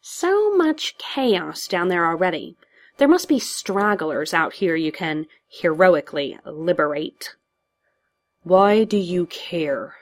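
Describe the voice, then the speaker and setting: A woman voicing a sly male villain in a narrated story, softly taunting in a slow, nonchalant drawl. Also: fairly low pitch, big pitch swings. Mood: mocking. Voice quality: soft.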